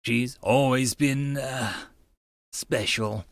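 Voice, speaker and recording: A man's voice: medium pitch, very slow, no room sound. A man narrating a visual novel aloud as a channel host, slipping into casual asides.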